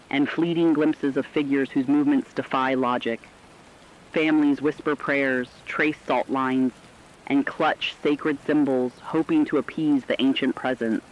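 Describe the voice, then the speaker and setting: A man acting as a solemn radio reporter, relaying eerie accounts in a measured, formal and foreboding horror narration. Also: mid-range voice, lo-fi sound, lightly acted.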